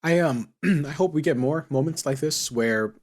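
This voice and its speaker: A man co-hosting a podcast, chatting casually in an animated, questioning way. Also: a little high, moderate pace. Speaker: podcast co-host. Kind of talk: casual chat about a TV series.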